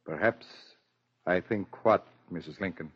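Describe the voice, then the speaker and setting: An actor playing a formal, tactful family doctor in a measured 1940s radio drama style. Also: male voice, deep voice, breathy edge. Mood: stiff, formal. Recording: dull old 1940s radio recording.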